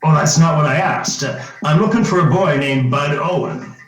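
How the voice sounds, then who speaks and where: A man's voice: fairly high pitch, moderate pace, close to an everyday voice. A man acting an insistent, coaxing former small-town police chief in an old-time radio drama, stammering and hesitating as he talks. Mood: eager, coaxing. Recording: roomy echo, music underneath.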